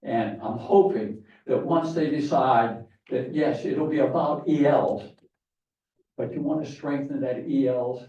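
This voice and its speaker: A man's voice: medium pitch, a little slow, warm tone. A man giving a rambling conference talk to an audience, with many fillers and restarts. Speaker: conference speaker. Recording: distant echoey hall, dull sound.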